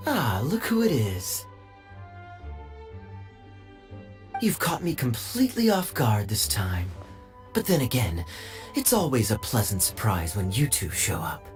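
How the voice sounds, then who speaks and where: A man playing a suave, sly young knight in an anime game, with a smooth, wry, theatrical delivery. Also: medium pitch, wildly swooping pitch, moderate pace, soft background music. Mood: amused, suave.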